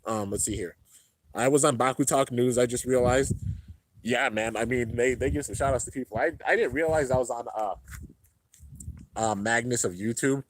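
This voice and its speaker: A man's voice: moderate pace, slight background noise, poor audio. A young man hosting a casual livestream and reading viewer comments, laid-back and rambling, a little congested. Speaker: YouTube host reading live chat. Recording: low-end home stream setup.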